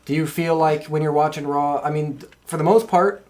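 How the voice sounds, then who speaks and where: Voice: medium pitch, fairly even intonation, a little fast, darkish tone. A podcast host chatting casually about wrestling.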